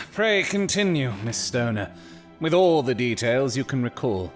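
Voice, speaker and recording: A man playing a keen Victorian detective in an audio drama. His delivery is formal and commanding, with lively swings of pitch over a music bed. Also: unhurried, lightly acted. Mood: attentive. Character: incisive, courteous Victorian gentleman detective. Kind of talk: period audio drama acting.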